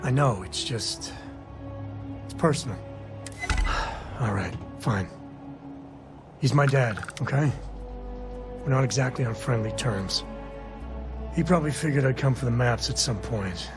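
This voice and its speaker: A guarded, weary space cowboy acted by a man in a video game. He talks casually with a folksy reluctance, in a mid-pitched voice, with clear music playing behind him. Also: unhurried, pauses often, lightly acted. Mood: reluctant, confiding.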